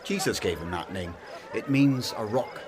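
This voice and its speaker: An earnest, gentle host speaking calmly and sincerely in a radio drama, played by an actor. His voice sits on the low side. Loud hiss runs underneath. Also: normal speed, crowd noise, close to an everyday voice.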